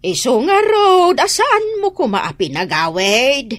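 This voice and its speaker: A woman's voice: high voice, wildly swooping pitch, unhurried, no echo, clean studio sound, exaggerated voice. A woman playing a fretful, concerned mother of grown children in a radio drama, with a northern Philippine accent. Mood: concerned, indignant.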